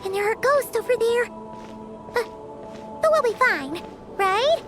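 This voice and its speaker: Little childlike game companion: squeaky, blurting, nervous, loud music underneath. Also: female voice, expressive, unhurried. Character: small, childlike, jittery but chirpy floating companion creature. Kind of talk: video game voice acting.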